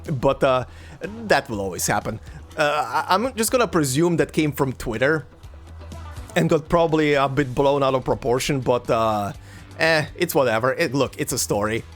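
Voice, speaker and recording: A Croatian man whose English mixes American and British with a slight German tinge, hosting a let's play and chatting casually with viewers, halting with many fillers and repeated words. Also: mid-range voice, animated, faint music behind. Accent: mix of American and British English, slight German tinge.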